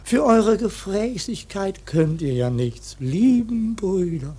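An actor in a radio play voicing an ancient, stubborn ship's cook who preaches piously and grumbles with curses in a husky voice. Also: male voice, high voice, lively intonation, takes it slowly, mellow, heavily acted. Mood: preachy, exasperated. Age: older. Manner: grumbling mock-preacher, swears constantly. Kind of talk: German radio play acting.

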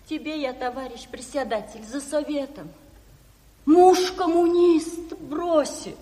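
An actress playing an abandoned pregnant peasant wife in a radio drama, fiery and plaintive, with folksy wailing exclamations. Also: female voice, high-pitched, unhurried, warm tone. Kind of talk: Soviet stage-style radio drama acting. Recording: hall reverb of 1970s radio play.

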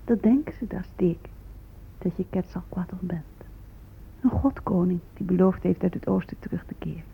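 Hushed, husky young woman. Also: lowish voice, normal speed, full character voice. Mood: hushed, assured. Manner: hushed, breathy, half-whispered. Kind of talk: radio drama acting. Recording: muffled phone-like old radio play.

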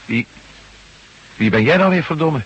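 An actor playing a bewildered, hesitant husband with lost memory, stammering and gruffly polite in a Dutch radio drama. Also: male voice, fairly high pitch, animated, normal speed, a bit bright, highs cut off. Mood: wary, confused. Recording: faintly hissy old radio recording.

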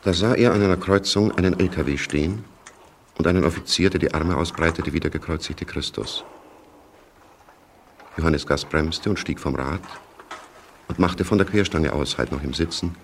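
A man's voice: low voice, moderate pace, long pauses, a little hiss or hum. A man narrating a literary radio play about war, as a storyteller reading scripted prose. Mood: measured. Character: male storyteller of a young soldier's war.

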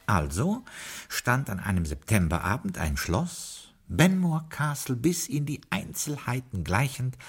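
An actor playing a proper, deferential butler who also narrates the story to the audience in a 1950s German radio drama. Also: male voice, lowish voice, husky, heavily acted. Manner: deferential, hesitant, wry. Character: dry, proper English butler.

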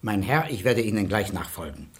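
A man's voice: fairly low pitch, very animated, brisk. A man acting a fussy, fatherly old baron in a radio play, pressing his guest with eager courtesy. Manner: effusive, pleading courtesy. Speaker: actor.

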